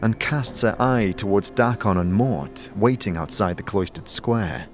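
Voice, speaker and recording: A man's voice: lowish voice, expressive, measured pace, soft background music. A man reading scripted second-person fantasy narration as the storyteller of an audio drama. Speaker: narrator and protagonist's voice.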